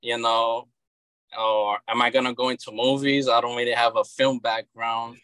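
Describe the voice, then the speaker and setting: A Brazilian man in his thirties speaks with a Brazilian Portuguese accent, a guest in a relaxed interview. His tone is bright and forceful, heard in a dry space without room echo. He stops and restarts his sentences often. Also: mid-range voice, moderate pace, clear, resonant tone. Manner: hesitant, many repeated words and restarts. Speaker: guest, comic book creator. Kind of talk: casual interview chat.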